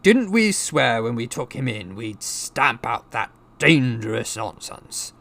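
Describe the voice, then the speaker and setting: Man voicing a blustering middle-aged uncle, croaky, snapping, tense, angry, panicky, audiobook character. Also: mid-range voice, big pitch swings, takes it slowly, pitched above the performer's own voice, heavily acted. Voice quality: croaking, forceful. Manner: sneering, snapping, roaring.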